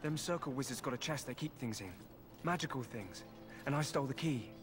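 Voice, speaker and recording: A hungry, crafty prisoner from a fantasy game, played by a man. He talks in a wry, wheedling way at a middling pitch, over clear background noise. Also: animated, brisk, close to an everyday voice. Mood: sly bargaining. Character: starving, sly male prisoner bargaining for food.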